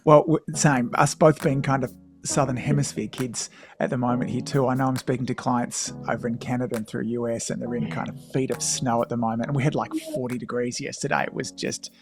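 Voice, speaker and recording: A man's voice: mid-range voice, fairly even intonation, fairly quick, over music. A man hosting a podcast, chatting casually and laughing along with his guest. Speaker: podcast host. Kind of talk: casual interview chat.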